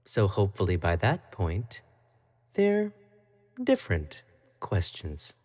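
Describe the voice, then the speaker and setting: A man's voice: low-pitched, huge pitch swings, slow, darkish tone, exaggerated voice. An actor playing an obsessive, clingy young male pop idol in an audio roleplay, talking to the listener in a coy, playful, doting way. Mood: teasing. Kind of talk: scripted roleplay monologue to the listener.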